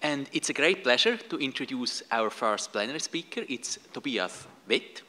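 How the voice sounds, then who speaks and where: A man's voice: mid-range voice, animated, moderate pace, sharp tone. A middle-aged man chairing a session, formally introducing the plenary speaker from a podium microphone in an auditorium. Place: large auditorium stage.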